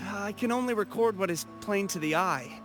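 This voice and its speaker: A eager, courteous gentleman from a fantasy game. His high voice swings about with theatrical excitement and polite formality, with music playing clearly behind him. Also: moderate pace. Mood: earnest, thoughtful. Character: eager, apologetic gentleman who records events on film. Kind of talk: video game character acting.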